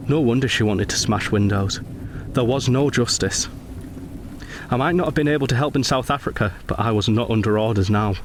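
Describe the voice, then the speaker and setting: A man playing a brooding, principled young Edwardian gentleman soldier in a radio drama, speaking and narrating in a formal, intense, literary way. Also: fairly low pitch, slight background noise, lightly acted.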